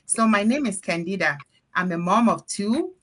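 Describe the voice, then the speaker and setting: A woman from Cameroon hosting a live panel stream, chatting in an upbeat, energetic way. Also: mid-range voice, normal speed. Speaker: host of a live panel show. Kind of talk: casual live-stream chat.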